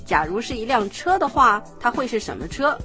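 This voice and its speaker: A woman narrating and explaining a scripted language lesson in a clear, explanatory manner. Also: fairly high pitch, very animated, a little fast, pushed and bright, soft background music.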